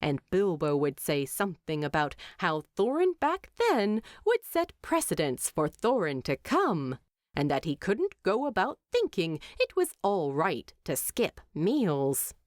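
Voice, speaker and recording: A woman narrating a story from a script in an expressive storytelling style. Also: fairly low pitch, a little slow, dry recording, studio quality.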